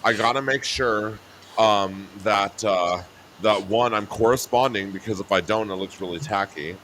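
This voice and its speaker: A man with a very deep, low voice chats easily, with frequent laughs and bursts into a higher voice when he imitates himself. A faint hiss of background noise sits under the recording. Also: moderate pace. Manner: laughing, breaks into high shouty voice when mimicking himself. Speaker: guest on a talk show. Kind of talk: casual chat. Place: New Mexico home.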